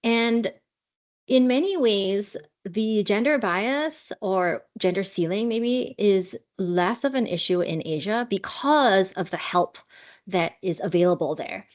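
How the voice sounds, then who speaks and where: A woman from Singapore, an author and podcast guest, explaining in a measured, earnest way. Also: mid-range voice. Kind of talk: interview answers. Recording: dull lo-fi audio.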